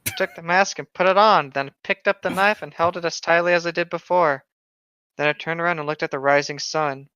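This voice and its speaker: A man with a smooth, clear voice reads and banters sarcastically. The recording is dry and a little dull, as from a close lo-fi microphone. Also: fairly high pitch, steady tone, brisk, bright, forceful tone, no echo. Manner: sarcastic, joking. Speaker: co-host of a creepypasta reading show. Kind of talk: story reading with casual banter.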